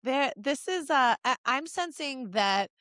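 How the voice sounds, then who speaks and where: Higher-pitched woman, playful podcast banter. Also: expressive, measured pace, fairly bright tone, dry recording, clean studio sound. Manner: playful, joking. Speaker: podcast co-host. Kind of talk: casual comedy chat, riffing.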